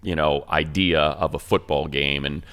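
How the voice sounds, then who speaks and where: A man's voice: very deep voice, steady tone, moderate pace, fairly bright tone, clear, resonant tone. A man who is a radio play-by-play announcer, talking football in an enthusiastic, stat-heavy way on a casual sports podcast.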